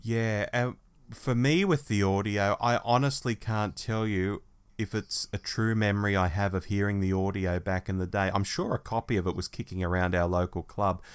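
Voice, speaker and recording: A man's voice: fairly low pitch, fairly even intonation, moderate pace, smooth. A middle-aged Australian man co-hosting a podcast in a casual, conversational review style. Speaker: podcast co-host.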